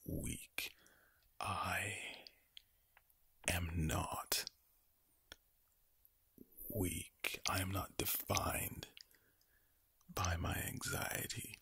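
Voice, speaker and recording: A man speaking in a low, breathy, villainous-sounding whisper, slowly and repetitively reassuring the listener in ASMR style. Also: bright, forceful tone, no echo. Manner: slow, repetitive, soothing address to the listener.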